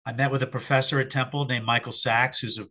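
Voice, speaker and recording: Middle-aged man, hoarse, bright tone, reflective, halting. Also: medium pitch, flat delivery. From Philadelphia area. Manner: reflective, hesitant with fillers and restarts. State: hoarse after covid. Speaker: sports psychologist guest. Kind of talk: casual interview answers.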